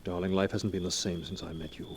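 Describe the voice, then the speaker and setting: A man playing a suave, flattering playboy in a 1940s radio drama, full of romantic sweet talk, on an old recording. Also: low-pitched, quick pace, slight background noise, natural voice. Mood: seductive.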